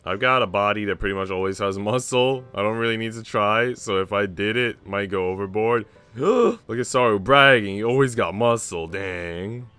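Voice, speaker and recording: Clear, low-voiced young male streamer. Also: animated, normal speed, sharp tone. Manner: laid-back slangy talk. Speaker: game streamer. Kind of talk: casual stream chat and visual novel read-aloud. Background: music.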